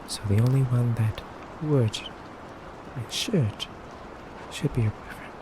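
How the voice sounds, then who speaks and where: A man playing a stern but courteous femboy vampire interrogator in a soft, deliberate ASMR roleplay, often near a whisper. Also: mid-range voice, noisy background, natural voice. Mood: stern warning.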